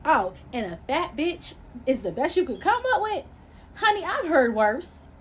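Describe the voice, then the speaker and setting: Woman acting brash, sassy young woman, high swooping voice. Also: big pitch swings, a little slow, slight background noise. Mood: amused, defiant. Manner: sarcastic, profane, slangy. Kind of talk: expressive audiobook-style character dialogue.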